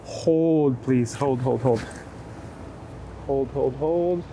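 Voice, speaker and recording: A man's voice: mid-range voice, drawn-out words, warm tone. A man working as a photographer outdoors in a city, chatting casually and encouragingly as he gives short, repeated posing instructions. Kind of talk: casual chat while directing portrait subjects. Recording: city street ambience and traffic noise.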